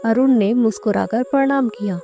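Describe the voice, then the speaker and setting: A female storyteller delivers scripted narration. Her voice is rather high yet very mellow, with background music playing under it. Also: normal speed, gravelly.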